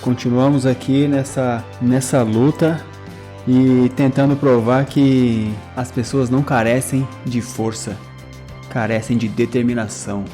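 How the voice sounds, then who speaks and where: A man's voice: mid-range voice, fairly even intonation, measured pace, dark tone. A man with a Brazilian accent as a tabletop RPG player, casually narrating and speaking for his character. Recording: music playing behind the voice. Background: music.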